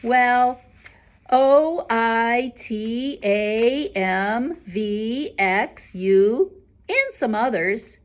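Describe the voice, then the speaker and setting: Clever nerdy schoolboy for a storybook, read by a woman: pitched up, confident, deliberate. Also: animated.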